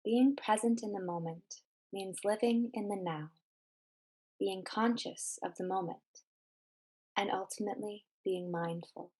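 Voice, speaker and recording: Female meditation guide, mid-range pitch, measured scripted delivery with deliberate, instructional phrasing. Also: fairly even intonation, darkish tone, husky.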